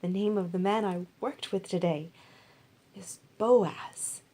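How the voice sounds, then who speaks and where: A woman's voice: mid-range voice, slow pace, mellow. A woman voicing a humble, grateful young widow in a Bible story, deferential and earnest.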